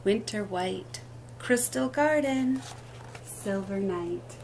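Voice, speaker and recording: A woman hosting a children's story time, reading a picture book aloud expressively in short, deliberate phrases. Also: medium pitch, expressive, a little mellow, slight background noise, low-quality recording.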